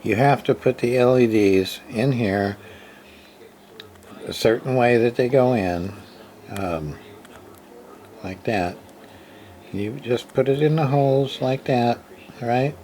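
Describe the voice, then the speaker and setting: A man giving a casual, unscripted hands-on repair tutorial, thinking aloud in a folksy, halting way. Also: medium pitch. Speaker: hobbyist repairer demonstrating. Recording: steady background noise, room ambience.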